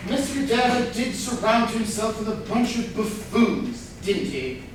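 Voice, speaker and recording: A man playing a haughty, exasperated butler turned sleuth in a farcical mystery, condescending and deliberate, at a staged reading. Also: sits high, very animated. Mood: haughty, disdainful. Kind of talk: staged comedy play reading. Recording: echoey hall, far mic, faint noise.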